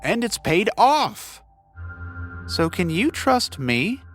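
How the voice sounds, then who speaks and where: A man's voice: high-pitched, very animated, slow pace, soft background music, heavily acted. A man playing a scrappy, stubborn bug catcher pleading with a hunting partner in an audio drama, with emphatic delivery. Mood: insistent. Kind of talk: audio fiction character dialogue.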